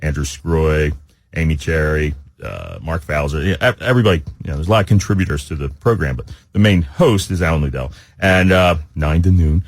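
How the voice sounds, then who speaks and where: Low-voiced, animated man on talk radio. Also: no echo, clean studio sound. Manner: halting, informal, rambling. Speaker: radio talk show co-host. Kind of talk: casual radio banter. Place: radio studio. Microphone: close studio microphone.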